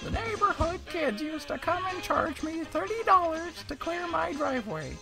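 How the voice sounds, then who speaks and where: A sweet, gullible homeowner in a comic radio testimonial. The voice is pitched very high and lilts animatedly over prominent music. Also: male voice, big pitch swings, normal speed, creaky, heavily acted.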